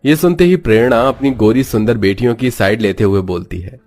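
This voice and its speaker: A man's voice: mid-range voice, a little fast, a little mellow, soft background music. A man narrating a scripted moral story.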